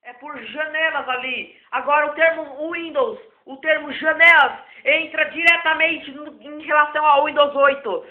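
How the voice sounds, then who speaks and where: A hoarse man, giving a rambling, improvised tech lesson as a self-taught computer tutor. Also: very high voice, fairly even intonation, normal speed, few pauses. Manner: rambling and repetitive. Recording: echoey, phone-like lo-fi recording.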